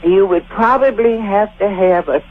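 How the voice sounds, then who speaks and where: A woman's voice: mid-range voice, unhurried. Embalmer lady on a tinny phone line, some hiss, matter of fact, polite but firm. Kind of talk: phone conversation.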